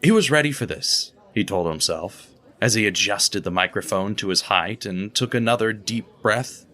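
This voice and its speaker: A man narrating an audio drama with expressive, steady storytelling. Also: fairly low pitch, moderate pace. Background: guitar music.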